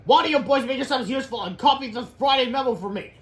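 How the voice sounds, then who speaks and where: A man voicing an irritable woman school secretary from a storybook, giving brisk orders and scolding. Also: extremely high pitch, a bit bright, slightly rough, pitched above the performer's own voice, full character voice. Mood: bossy.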